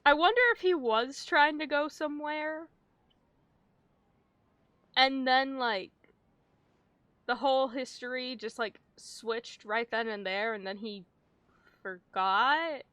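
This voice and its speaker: A woman's voice: high-pitched, takes it slowly, long pauses, sharp tone, smooth, no echo. A young adult woman giving casual livestream game commentary, jokey, affectionate and slangy with some swearing. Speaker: streamer.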